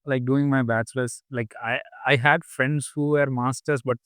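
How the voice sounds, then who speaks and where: A young man from India, hosting a casual chat and often pausing, repeating words and using fillers. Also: medium pitch, steady tone, fairly bright tone, no echo, studio quality. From Kerala, India. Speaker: podcast host. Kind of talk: casual conversation.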